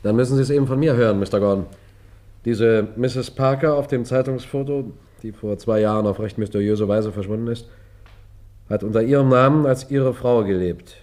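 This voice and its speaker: A man playing a composed detective who narrates and questions in a German radio crime play, measured and pointed. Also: medium pitch, mellow, natural voice. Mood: confronting. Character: composed, probing male detective narrating his case.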